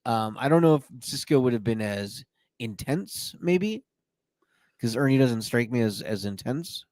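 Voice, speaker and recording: A man's voice: mid-range voice, expressive, measured pace, a little mellow, no room sound. Playful male podcast host, dry sound. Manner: playful, jokey, many fillers. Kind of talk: casual chat.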